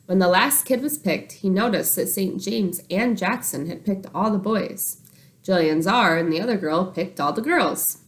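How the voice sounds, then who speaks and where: A woman reads a children's story aloud in the manner of a teacher. Her intonation is very lively and moves widely around a mid-range pitch, and she brings the dialogue to life with expressive delivery. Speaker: teacher reading chapters aloud. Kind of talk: animated children's book read-aloud.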